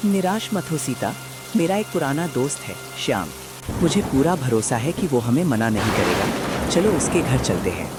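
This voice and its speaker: An earnest village husband played by a man, hopeful and pleading, in a cartoon moral tale. He has a mid-range voice with loud music behind. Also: medium pitch, very animated, normal speed, natural voice. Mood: reassuring. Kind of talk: Hindi cartoon moral story dialogue.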